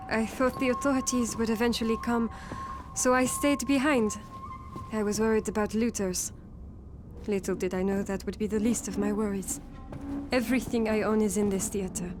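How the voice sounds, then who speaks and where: A woman's voice: on the high side, normal speed, over music, lightly acted. An actress playing a gracious but wary woman who survived a plague, polite and sober, in a video game. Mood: wistful. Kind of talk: video game cutscene acting.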